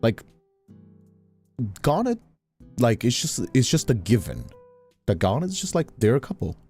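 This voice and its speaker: A man chatting casually on a livestream, emphatic and animated, often repeating himself as he makes a point. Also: medium pitch, unhurried, faint music behind. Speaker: streamer. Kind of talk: casual conversational chat on a livestream.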